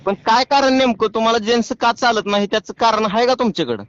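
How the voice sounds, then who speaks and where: A man's voice: very high-pitched, fast, fairly bright tone. A man with a rural Marathi accent making a prank phone call, pleading and persistent in a rustic way. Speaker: prank caller and show host. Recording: dull lo-fi phone call audio.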